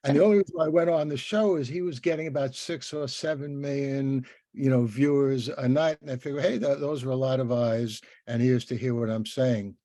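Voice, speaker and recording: Casting: older man as interview host, effusive and discursive, with a relaxed dark tone and very dry sound. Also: mid-range voice, brisk, few pauses, dry recording. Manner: effusive, rambling. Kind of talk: conversational interview.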